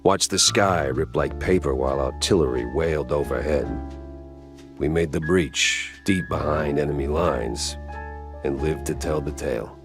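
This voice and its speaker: An actor playing a world-weary private detective and war veteran in a noir game, deadpan and sardonic. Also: male voice, a bass voice, music in the background. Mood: weary, brooding. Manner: deadpan, sardonic, slow drawl. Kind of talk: noir game narration and dialogue.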